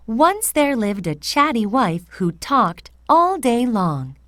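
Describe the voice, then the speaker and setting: A woman storyteller with a fairly high voice reads a children's fairy tale. Her intonation is very animated and expressive. The sound is dry, with no room echo. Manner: expressive, deliberate read-aloud.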